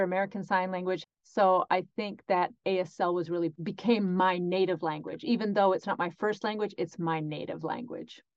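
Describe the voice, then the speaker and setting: Woman interpreter, mid pitch, measured phrasing, dry and slightly dull recording. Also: no echo. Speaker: sign language interpreter voicing the guest.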